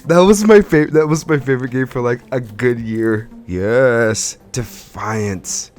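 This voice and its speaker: A man hosting a podcast, chatting casually about games in an animated, informal way with slangy phrasing. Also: mid-range voice, takes it slowly, faint music behind. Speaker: podcast host. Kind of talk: casual gaming podcast chat.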